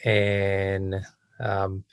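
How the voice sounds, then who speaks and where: An Israeli-accented man in his thirties talks as an interview guest in a lowish, fairly flat voice. He speaks casually, in short halting phrases broken by frequent pauses. The sound is dry and close, with no room echo. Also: creaky, clean tone. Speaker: podcast guest, real estate entrepreneur.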